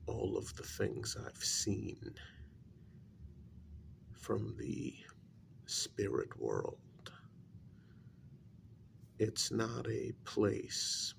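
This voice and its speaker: Man playing a very slow, creaky, soft-spoken spiritualist. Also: a little hiss or hum, exaggerated voice. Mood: somber, weary. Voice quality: soft, low, creaky voice, breathy in places. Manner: very slow, deliberate, hesitant, with long pauses. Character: grave, reluctant male spiritualist breaking news to a client. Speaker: ASMR role-play performer. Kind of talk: soft-spoken ASMR role-play.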